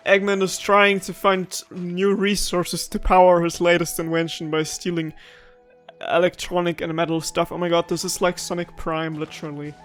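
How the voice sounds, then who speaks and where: A man's voice: high-pitched, little pitch movement, normal speed, a bit bright, soft background music. A man giving casual Let's Play commentary in a joking, incredulous manner. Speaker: gaming video host.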